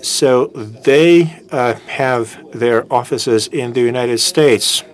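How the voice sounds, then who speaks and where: Slow, halting man welcoming an audience. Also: mid-range voice. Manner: slow and halting, with many filler pauses. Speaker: business school program head introducing a guest speaker. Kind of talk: formal welcome speech at a book launch. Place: lecture room with an audience. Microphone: handheld microphone.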